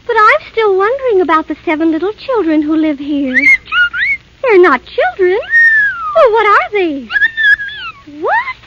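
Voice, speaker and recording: Super high lilting girlish princess, wide-eyed n sweet, old muffled radio play. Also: sing-song, measured pace, pauses often, heavy background noise, full character voice. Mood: curious, surprised. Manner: wide-eyed, childlike, theatrical exclamations. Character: sweet, innocent, girlish fairy-tale princess. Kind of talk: 1930s radio acting. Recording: muffled 1938 radio recording with music.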